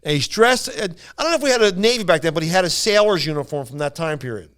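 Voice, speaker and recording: A man casually telling anecdotes on a podcast in a rambling, animated way, acting out what people said. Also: on the high side, fast talker, clean tone. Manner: rambling, animated retelling with acted-out quotes. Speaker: podcast storyteller.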